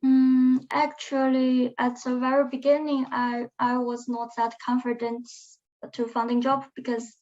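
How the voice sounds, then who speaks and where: A young woman speaking English as a second language, answering interview questions in a halting, hesitant way. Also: high voice, level tone, darkish tone. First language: not English; non-native speaker. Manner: hesitant, halting phrasing with restarts. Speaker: alumna guest. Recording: muffled lo-fi webinar audio.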